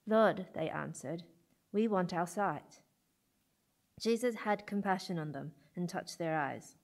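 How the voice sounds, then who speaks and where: A woman reading a Bible passage aloud in a church, measured and steady. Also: lowish voice, gravelly. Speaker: scripture reader.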